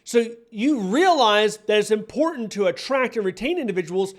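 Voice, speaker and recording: A man's voice: high voice, animated, normal speed. An American man in his forties giving opinion commentary, speaking emphatically and repeating key phrases to drive points home. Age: 40s. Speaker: online career coach and commentator. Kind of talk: direct-to-camera opinion commentary.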